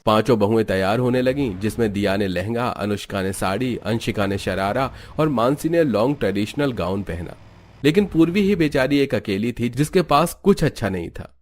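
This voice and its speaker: A man narrating a cartoon family story in a plain, even storytelling voice. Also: lowish voice, normal speed.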